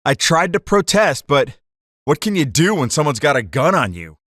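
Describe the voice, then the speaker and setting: Man acting a hot-headed gangster, animated delivery. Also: a little high, moderate pace, dry recording, studio quality. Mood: narrating, bitter. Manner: animated slangy storytelling. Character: hot-headed card-cheating gangster recounting a grudge. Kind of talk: 1940s gangster radio drama acting.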